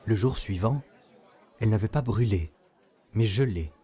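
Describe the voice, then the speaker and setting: An actor playing a narrator with a dark, husky voice, reading a scripted tale. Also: male voice, lowish voice, unhurried, a faint crowd, lightly acted. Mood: measured. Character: quiet male narrator of a tale.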